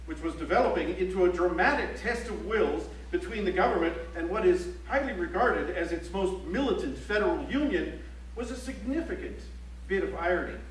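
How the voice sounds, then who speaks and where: Serious male reporter, high voice, stage news delivery; distant, echoey auditorium sound with faint hum. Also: expressive, a little fast. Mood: grave, emphatic. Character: serious newspaper reporter reciting strike news. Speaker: stage actor. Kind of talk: theatrical reading of news reports. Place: library auditorium stage, live play.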